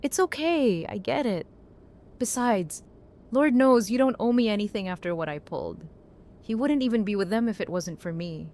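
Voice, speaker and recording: A woman's voice: mid-range voice, lively intonation, moderate pace, darkish tone, close to an everyday voice. A woman playing a blunt, battle-worn apocalypse survivor in a naturalistic audio drama. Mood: candid.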